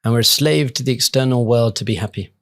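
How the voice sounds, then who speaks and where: A man's voice: mid-range voice, fast talker. A man speaking as an interview guest, reflective and earnest in an informal, conversational way. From England. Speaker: rabbi and podcast guest.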